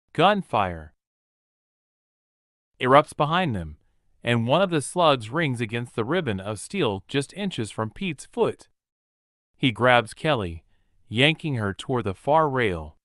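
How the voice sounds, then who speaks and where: A man's voice: medium pitch, fairly bright tone, no room sound. A man narrating a horror novel in a vivid, present-tense storytelling style, recorded close to a studio mic. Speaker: audiobook narrator.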